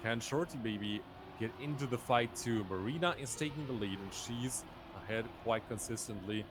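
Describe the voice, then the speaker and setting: Male esports caster over clear background noise. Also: mid-range voice, moderate pace. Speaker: esports play-by-play commentator. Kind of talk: live racing game commentary.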